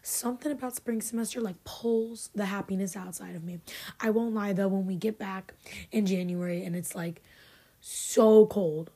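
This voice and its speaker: Young woman, podcast host, raspy just-woke-up voice, mellow and husky, casual chatty delivery. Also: mid-range voice, fairly even intonation, moderate pace. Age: 20s. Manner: chatty, sarcastic, trails off. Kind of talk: casual chat. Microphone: filmed podcast setup.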